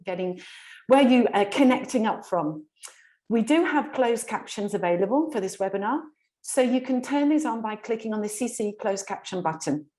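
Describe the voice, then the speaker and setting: A woman's voice: medium pitch, darkish tone. A woman hosting a webinar over a video call, friendly and chatty, with small restarts as she goes. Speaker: webinar host.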